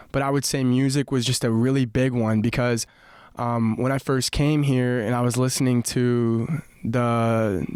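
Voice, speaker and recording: A man's voice: mid-range voice, clear, resonant tone, dry recording, studio quality. A young man from Morocco, a musician guest chatting on a podcast and telling stories with lots of fillers and restarts. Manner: earnest storyteller, many fillers and restarts. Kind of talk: casual podcast chat. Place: podcast studio.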